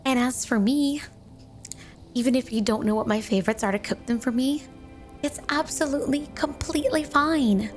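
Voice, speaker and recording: Woman acting out obsessive snow woman wife, fairly high voice, sugary, doting, flirty ASMR roleplay, faint music underneath. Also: moderate pace, lightly acted. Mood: doting, eager. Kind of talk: ASMR roleplay addressed to the listener.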